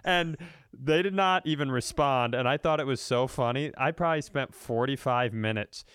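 A man's voice: mid-range voice, normal speed, fairly bright tone, clean tone, dry recording, clean studio sound. A man co-hosting a podcast, telling jokey, profane stories in a casual chat and acting out bits of dialogue. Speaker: podcast co-host. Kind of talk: casual comedic chat and storytelling.

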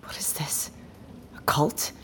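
A woman's voice: takes it slowly, in a whisper, natural voice. An actress playing a groggy, skeptical woman held by a cult in an audio drama, hesitant but blunt. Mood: wary, skeptical. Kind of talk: immersive audio drama acting.

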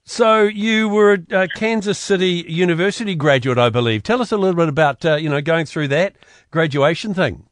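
A man's voice: a little high, expressive, a little fast, dry recording, clean studio sound. A man hosting a radio interview, asking chatty, friendly questions. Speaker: radio host. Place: radio studio. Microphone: studio mic.

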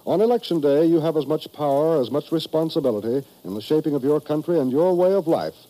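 A man with an American accent reading a formal, emphatic 1950s radio announcement in a measured way. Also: medium pitch, mellow, poor audio. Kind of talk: 1950s radio announcement, scripted public service address. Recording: old radio broadcast recording.

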